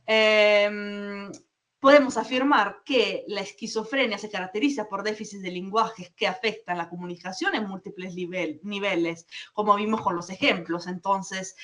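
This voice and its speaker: A woman from Peru giving a conference talk on her research, halting with many fillers and self-corrections. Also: medium pitch, steady tone, a bit bright, distant mic. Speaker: academic presenter.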